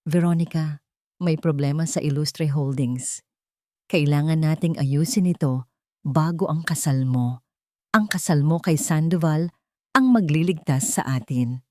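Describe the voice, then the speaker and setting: A woman's voice: sits low, measured pace, a little mellow, no echo, studio quality. A woman voicing a stern older male attorney, an uncle in a story, grave and commanding. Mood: grave, urgent.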